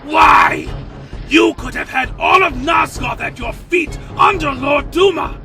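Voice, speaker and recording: A man's voice: very high voice, huge pitch swings, takes it slowly, pushed and bright, shouting, faint music behind, heavily acted. A man acting a furious, contemptuous vampire commander in an audio drama, venomous and snarling. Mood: enraged.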